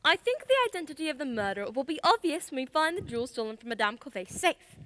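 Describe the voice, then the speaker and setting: Woman acting female sleuth, radio drama, very bright, lively intonation, precise, assertive. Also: high voice, quick pace, slight background noise. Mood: poised, pointed. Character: confident female detective, sharp and methodical, accused of murder.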